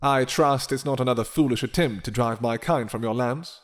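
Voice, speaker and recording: A man's voice: medium pitch, close to an everyday voice. Courtly, menacing vampire lord, acted by a man. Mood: formal, guarded. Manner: courtly, measured, threatening. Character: proud, menacing, smooth-talking male vampire lord. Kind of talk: dramatic roleplay acting.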